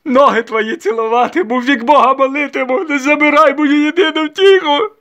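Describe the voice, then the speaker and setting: A humble widowed peasant mother from a storybook, performed by a male reader. The voice is pushed very high and loud. She wails and begs in desperation. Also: moderate pace. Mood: tearful begging. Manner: wailing, pleading.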